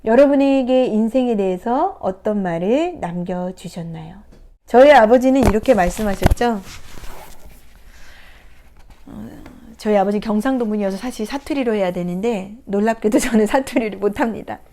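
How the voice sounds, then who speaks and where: A woman counselor giving a reflective talk, retelling a picture book in a gentle, unhurried way with soft hesitations. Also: medium pitch, animated. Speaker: counselor and coach. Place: recording her first video talk.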